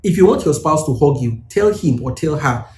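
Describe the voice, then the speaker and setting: A man's voice: fairly high pitch, animated, normal speed, a little mellow, reverberant. A man from Nigeria, co-hosting and giving earnest, instructive advice to couples. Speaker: co-host. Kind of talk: advice talk.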